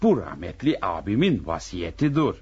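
A pious old village elder in a radio play. His voice is husky and swings widely as he admonishes the family in a folksy, earnest way. The recording is dull and lo-fi. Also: low-pitched, sing-song, moderate pace, a little mellow, exaggerated voice. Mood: solemn, admonishing. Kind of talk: radio drama acting.

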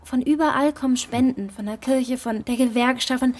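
A woman's voice: high voice, moderate pace. An actress playing a defensive, dreamy young postwoman in a naturalistic radio drama. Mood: earnest, hopeful. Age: young adult.

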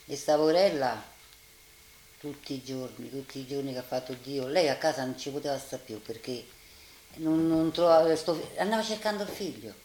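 A woman's voice: very deep voice, normal speed, darkish tone. An older woman with a Roman accent giving spoken testimony as a witness. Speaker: witness recalling wartime. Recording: roomy, noisy archive interview.

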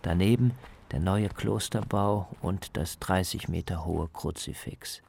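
A man's voice: sits low, unhurried, vocal fry, darkish tone, slight background noise. A man reporting and narrating a scripted radio documentary in the first person.

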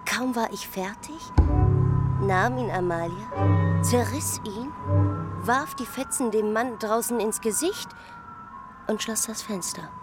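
An actress in a German radio play playing a sister who recalls events intensely, in deliberate, fragmented phrases. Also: female voice, fairly high pitch. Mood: tense recollection. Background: music.

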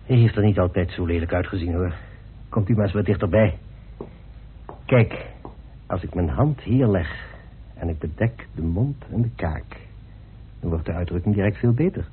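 A man's voice: low-pitched, moderate pace, long pauses, slight background noise, close to an everyday voice. An actor playing a self-assured, talkative, persuasive man in a radio drama. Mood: measured, polite. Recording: muffled, narrow-band old radio recording.

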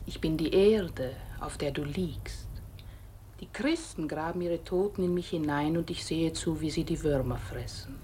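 Earth spirit, low female voice, stern, grim, deliberate, radio drama, slight noise behind. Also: mellow. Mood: grim, knowing. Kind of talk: radio play acting.

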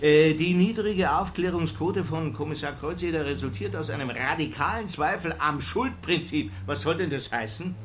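Casting: male actor for irascible police boss, middle-aged, high-pitched, blustering, noisy background. Radio crime drama. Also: normal speed, hardly any pauses, lightly acted. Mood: scornful, exasperated. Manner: blustering, indignant, scolding. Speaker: police chief.

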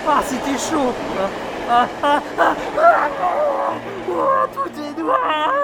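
A man's voice: very high-pitched, wildly swooping pitch, slow pace, heavy background noise, pitched above the performer's own voice, exaggerated voice. A man playing a panicky man just brought back to life, wailing tearfully in a comic audio drama. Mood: panicked wailing. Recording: music under the voice.

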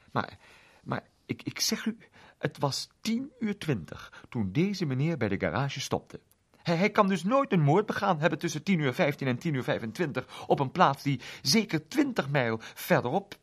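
A man's voice: medium pitch, husky, pitched above the performer's own voice. A man reading a story, voicing a fussy, unmarried woman art teacher who stammers and repeats herself in a raised voice.

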